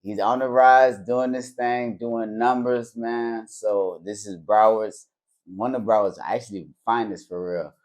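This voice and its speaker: A man's voice: lowish voice, fairly even intonation, measured pace. A man hosting a podcast, hyping sponsors and guests in a laid-back way. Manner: hype, laid-back, lots of shout-outs. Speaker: podcast host. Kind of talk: casual interview chat.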